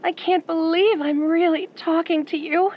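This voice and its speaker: A woman's voice: very high voice, fairly even intonation, normal speed. A woman playing an adoring, obsessive girlish fan calling a radio show, sugary and starstruck through a phone filter. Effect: phone caller filter. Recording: dull phone line with noise.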